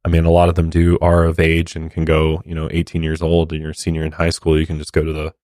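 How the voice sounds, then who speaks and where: A man's voice: a bass voice, monotone, quick pace, darkish tone, no room sound, studio quality. An American man co-hosting a podcast, talking casually and earnestly in colloquial language. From United States. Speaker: podcast co-host.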